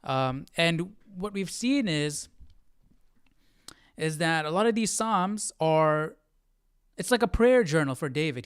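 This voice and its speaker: A man hosting an informal Bible study, teaching casually and thinking aloud with halting, repeated phrases. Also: fairly high pitch, clean tone, no echo, clean studio sound. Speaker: host of a Bible study live stream.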